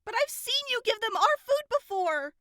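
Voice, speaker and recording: Small daughter, extremely high pitch, bright tone, pleading, innocent, audio drama. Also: female voice, big pitch swings, normal speed, heavily acted. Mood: distressed, apologetic. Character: apologetic, innocent little girl, human daughter.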